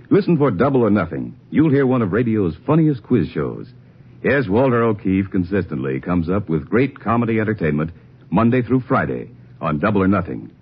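A man announcing in the upbeat, sing-song manner of a 1950s radio promo, his pitch swinging widely. His low voice has a husky edge, and the sound is dull and lo-fi like an old broadcast. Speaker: network announcer.

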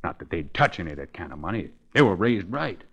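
A man playing a weary, tough dying convict pleading for his family in rough streetwise slang, in 1940s radio drama style. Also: low voice, very animated, quick pace, fairly bright tone, full character voice. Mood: earnest, weary. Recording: muffled old 1940s radio recording.

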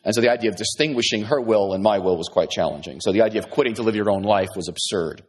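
A man's voice: lowish voice, fast talker, breathy edge. A male pastor giving a sermon in a conversational way, often restarting his sentences and adding asides.

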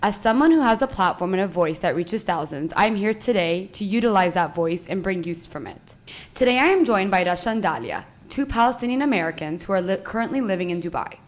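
Woman, podcast host, earnest and measured, middle pitch. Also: expressive. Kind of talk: scripted podcast intro, then interview.

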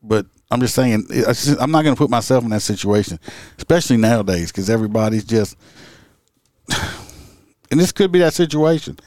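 A man's voice: mid-range voice, expressive, brisk, a little mellow, no echo, clean studio sound. A middle-aged man co-hosting a podcast, swearing and joking crudely, with grown children of his own. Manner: joking, crude, puts on silly voices. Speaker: podcast co-host. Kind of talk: crude comedic banter.

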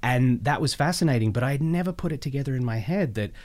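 An Australian man chatting casually as an interview guest, telling personal anecdotes in an animated, chatty way. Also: mid-range voice, quick pace. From Melbourne, Australia.

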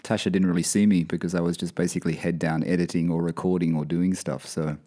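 A man hosting a casual podcast, talking in a relaxed, rambling way with many fillers, his voice a bit croaky from a cold. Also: sits low, quick pace. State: croaky, coming down with something. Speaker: podcast host. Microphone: podcast mic over video call.

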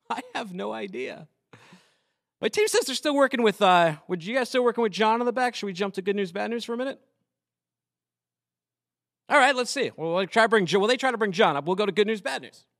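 Lively high-voiced man, podcast host. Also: gravelly, no echo, clean studio sound. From Massachusetts. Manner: animated and jokey, fast filler-laden talk. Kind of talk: casual chat.

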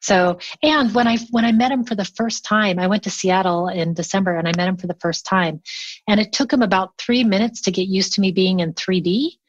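A woman's voice: medium pitch, brisk, dry recording. Chatty woman, slightly dull close recording. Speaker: interview guest; remote collaboration workshop teacher. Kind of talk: casual conversational interview.

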